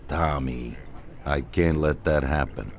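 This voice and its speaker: An actor playing an old, commanding mafia boss in a video game, speaking in a measured, deliberate, gruff manner. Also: male voice, extremely low pitch, full character voice. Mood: confiding, grave. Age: older. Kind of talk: video game cutscene acting. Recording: noisy game audio with ambience.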